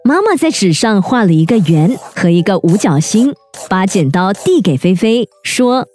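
A woman's voice: medium pitch, moderate pace. A woman hosting a children's story podcast, telling tales expressively and speaking directly to young listeners. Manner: animated, addresses children directly with questions. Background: children's music.

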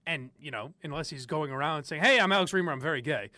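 A man's voice: on the high side, fast talker, bright, forceful tone, dry recording. A young man from the area, hosting opinionated talk radio and ranting with frequent laughs. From Boston area. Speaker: sports radio host.

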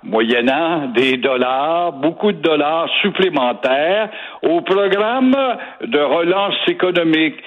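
A man's voice: fairly high pitch, animated, slow pace, phone-quality sound. A man with a Québécois accent giving opinionated, sarcastic commentary as a regular radio guest. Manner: sarcastic, mocking repetition.

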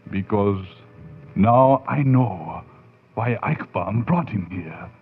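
An actor playing an anguished professor in 1940s radio horror, with melodramatic delivery and halting, dramatic pauses. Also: male voice, on the low side, animated, husky, exaggerated voice. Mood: grave, shaken. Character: anguished male professor experimenting on the dead. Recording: tinny old radio broadcast.

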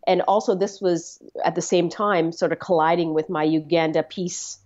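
A middle-aged woman from Canada who talks in a low, earnest voice. She often hesitates and repeats words as she reflects on her story. The sound is slightly muffled and lo-fi. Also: fairly even intonation, moderate pace, a little mellow. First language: Swahili. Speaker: interview guest. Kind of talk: reflective conversational interview.

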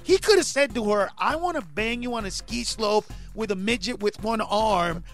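Animated comedian man with music under. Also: sits high, moderate pace. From Honduras. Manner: animated, rising to shouts when acting out bits. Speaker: stand-up comedian, guest. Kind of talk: casual interview chat.

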